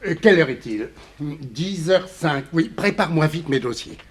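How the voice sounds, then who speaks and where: A man's voice: fairly high pitch, animated, normal speed, breathy edge. An actor playing a jovial, blustering businessman in his sixties, with a hearty theatrical delivery in a 1960s French radio play. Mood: jovial, brisk. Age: older. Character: jovial, brisk 61-year-old businessman and fond father.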